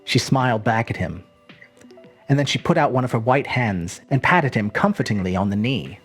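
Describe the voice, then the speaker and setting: Husky, expressive woman storyteller. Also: rumbling low, moderate pace, faint music behind. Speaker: story narrator. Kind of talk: expressive literary narration.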